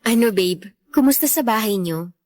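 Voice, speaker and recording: A woman's voice: a little high, animated, normal speed, a little mellow, no room sound, studio quality, natural voice. A young woman playing a concerned, inquisitive girlfriend in a conversational radio drama, speaking with easy familiarity. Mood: concerned, curious.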